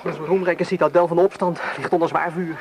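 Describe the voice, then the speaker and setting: An urgent rebel man, played by an actor in a radio play reports from the front. He declaims in a high, fast voice on a faintly noisy old recording. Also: steady tone, natural voice. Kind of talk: Dutch radio play acting.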